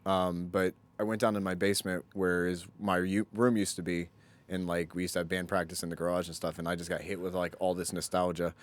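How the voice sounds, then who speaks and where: A young adult man co-hosting a podcast, telling rambling, self-deprecating stories with casual swearing in an animated way. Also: low-pitched, fairly quick. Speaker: podcast co-host. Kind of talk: casual banter and storytelling.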